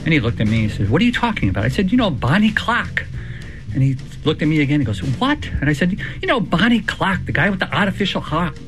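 A man from Rhode Island, calling in with a lively anecdote. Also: fairly high pitch, fast talker, faint music behind. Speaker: caller.

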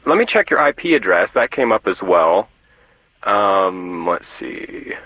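Halting man on a dull recording. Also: sits low, big pitch swings, no room sound. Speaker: support agent. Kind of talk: customer service phone call. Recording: dull, dry call recording.

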